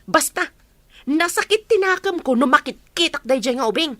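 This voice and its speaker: A woman's voice: high voice, animated, moderate pace, sharp tone, dry recording. A woman playing a bitter, resentful betrayed wife with a scolding manner in an Ilocano radio drama. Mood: bitter anger.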